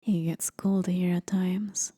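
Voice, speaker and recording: A woman playing a playful, courteous demon forest guide in an ASMR roleplay, with soft, breathy, often whispered speech and a teasing, gently theatrical manner. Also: fairly low pitch, flat delivery, takes it slowly, no echo, studio quality. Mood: gentle, welcoming. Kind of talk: ASMR roleplay, scripted acting.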